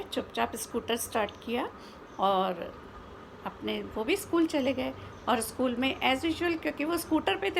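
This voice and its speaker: A woman's voice: a little high, vocal fry, fairly bright tone, slight background noise. A woman with an Indian accent telling a children's story informally, explaining as she goes with rhetorical questions and mixed-in English words.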